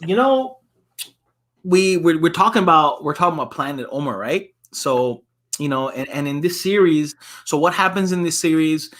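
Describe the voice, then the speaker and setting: A man's voice: on the high side, animated, normal speed, fairly bright tone. A man co-hosting a children's story time, talking informally and often checking in with his listeners. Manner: informal, checks in with frequent tags like right and okay. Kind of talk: casual talk to children and families.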